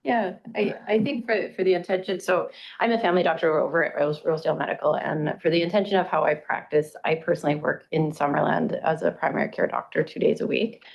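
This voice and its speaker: A woman's voice: sits low, fast talker, vocal fry, lo-fi sound. A Canadian woman family doctor answering council questions in a methodical way. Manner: methodical, self-correcting. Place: council chamber.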